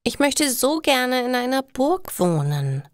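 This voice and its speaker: A woman's voice: high voice, sing-song, takes it slowly, clean tone, no echo, studio quality, voice pushed up, exaggerated voice. A woman voicing an eager, dreamy nine-year-old girl in a storybook reading, with simple, wondering phrasing.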